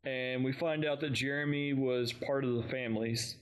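A man's voice: mid-range voice, fairly even intonation, normal speed, clear, resonant tone, rough recording. Casual man recapping a story. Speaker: fan podcast co-host. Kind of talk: casual recap discussion of an audio drama.

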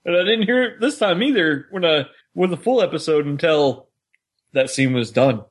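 A man's voice: fairly high pitch, animated, moderate pace. A man co-hosting a fan podcast in casual, conversational chat, often slipping into quoted lines. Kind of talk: casual chat about an audio drama.

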